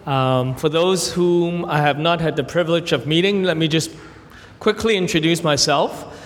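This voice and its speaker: A young adult man raised in Canada, giving a sermon as guest preacher, earnest and gentle, telling stories with brief pauses. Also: on the high side, long reverb tail. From Hong Kong, raised in Canada. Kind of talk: sermon with prayer and illustrations.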